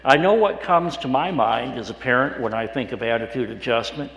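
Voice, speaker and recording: Male pastor, middle-aged, raspy and hoarse, chatty halting sermon delivery, roomy echo. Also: mid-range voice, fairly bright tone, muffled recording. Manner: conversational with frequent "uh" restarts. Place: church sanctuary. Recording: echoey hall with long reverb.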